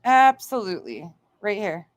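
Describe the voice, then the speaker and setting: A woman's voice: medium pitch, sing-song, normal speed, dry recording. A woman hosting a livestream, reacting and chatting to viewers in a blunt, indignant, sweary way. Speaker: livestream host.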